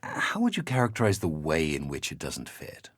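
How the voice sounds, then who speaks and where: A low-voiced, slightly husky researcher in a game audio log. He is measured and reflective, with lively intonation, recorded very dry and close. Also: no room sound, full character voice. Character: thoughtful male researcher reading quotations aloud and discussing them. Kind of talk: audio drama reading and conversation.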